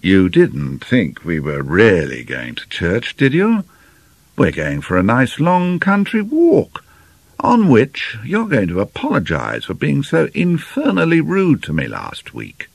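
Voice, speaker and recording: A cocky, teasing young English gentleman speaks with breezy command, as a male narrator reads him. The storybook delivery is animated in pitch and captured dry. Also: unhurried, gravelly, no room sound, exaggerated voice. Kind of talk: audiobook character dialogue.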